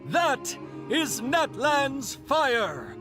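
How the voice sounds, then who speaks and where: A solemn female orator at a warrior rite in a video game. She declaims slowly in a high voice with sweeping intonation, over music. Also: big pitch swings, full character voice. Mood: solemn, stirring. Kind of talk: ceremonial recitation in a video game.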